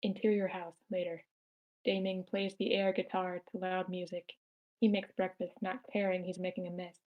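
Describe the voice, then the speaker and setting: A woman's voice: lowish voice, little pitch movement, moderate pace, highs cut off. A woman narrator reading screenplay stage directions aloud.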